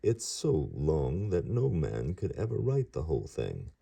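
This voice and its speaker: A man reading as a gentle, wise old poet in a storybook, his voice low and gravelly. He speaks slowly and calmly, with a husky, dark edge. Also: heavily acted. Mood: curious.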